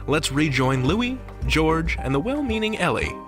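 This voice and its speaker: A man's voice: on the high side, very animated, unhurried, over music. A man hosting a podcast, reading scripted narration with a theatrical storytelling manner. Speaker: podcast host.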